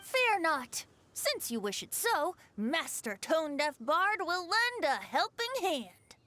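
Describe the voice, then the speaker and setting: A woman's voice: very high-pitched, very animated, slow pace, sharp tone, a little hiss or hum, full character voice. A woman playing a playful, teasing young male bard in a video game, with a theatrical, lilting delivery. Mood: amused. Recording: music behind the voice.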